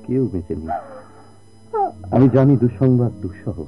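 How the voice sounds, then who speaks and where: A man with a Bangladeshi accent playing a kind, patient, devoted family friend in a radio drama, speaking earnestly in formal, measured diction. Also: mid-range voice, lively intonation, over music, close to an everyday voice. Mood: grave, urging. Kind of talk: Bengali radio drama acting. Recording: muffled old radio drama recording.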